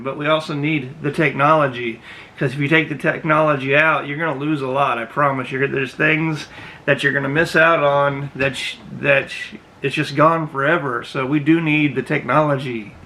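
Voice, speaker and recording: A man's voice: fairly high pitch, sharp tone. A man in his thirties, host of a reaction video, giving earnest and emphatic casual commentary in a small room. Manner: earnest, emphatic, repeats himself.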